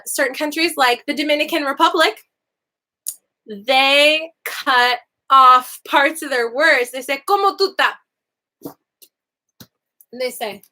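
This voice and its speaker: A young adult woman teaching simple Spanish phrases in a casual online class, chatty and animated, often laughing and swooping between high and low notes.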